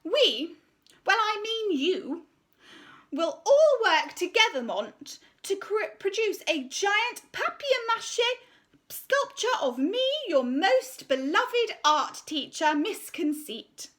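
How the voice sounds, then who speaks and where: A woman's voice: very high voice, big pitch swings, takes it slowly, a bit bright, voice pushed up, heavily acted. A woman voicing a vain, pompous female art teacher who mangles fancy words and adds fake French flourishes. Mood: bossy.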